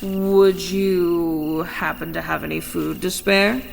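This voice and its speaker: A man acts out a hungry, desperate outlaw in a fantasy audio drama. His speech is slow and hesitant, with a gravelly creak. It rings in a long reverb over faint music. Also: high-pitched, fairly even intonation, heavily acted. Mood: cautious, pleading. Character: starving male human outlaw, wary, desperate drifter.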